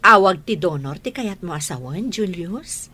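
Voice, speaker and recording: A woman playing a gentle, patient mother in a radio drama, with an Ilocano accent. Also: on the low side, lively intonation, a little slow. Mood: tender. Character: gentle blind boy's mother, patient and reassuring.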